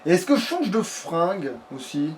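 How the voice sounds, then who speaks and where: A man's voice: sits high, big pitch swings, moderate pace. A man commenting casually on his game, with crude joking slang. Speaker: let's player. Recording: noisy home recording.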